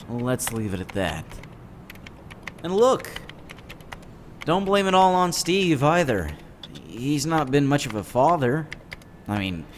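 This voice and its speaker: A man's voice: medium pitch, a little hiss or hum. A man playing a gloomy, sardonic teenage boy in a comic dub, with a dry, jaded, matter-of-fact delivery. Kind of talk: scripted comic-dub acting, first-person narration and dialogue.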